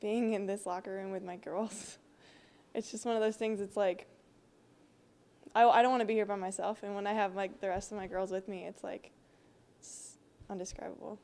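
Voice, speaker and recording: A young woman with a warm, mellow tone. She gushes emotionally, speaking into a handheld mic. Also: mid-range voice, steady tone, moderate pace, long pauses. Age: 20s. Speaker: college softball player.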